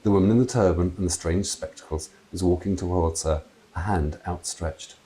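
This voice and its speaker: A man reads a children's story aloud in an expressive, deliberate storytelling manner. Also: low-pitched, low-quality recording. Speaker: children's author reading aloud. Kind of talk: dramatic storybook narration. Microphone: clip-on lapel mic.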